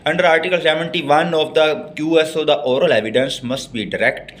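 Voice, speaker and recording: A man's voice: fairly high pitch, fairly bright tone. A man with a Pakistani accent giving a bilingual law lecture, reading out formal text and explaining it by repeating and rephrasing. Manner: explanatory, repeats and rephrases points, slow and halting when reading.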